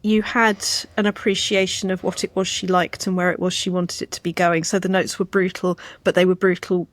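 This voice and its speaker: A woman's voice: fairly low pitch, little pitch movement, brisk, no room sound. A woman hosting a conversational interview, putting thoughtful questions in a careful, feeling-her-way manner. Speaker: interviewer, host.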